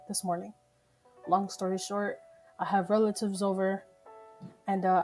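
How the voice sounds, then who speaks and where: A just-woken woman hosting a live news stream from home, reading articles haltingly, stumbling and swearing as she comments. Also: medium pitch, darkish tone. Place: home, relatives talking downstairs.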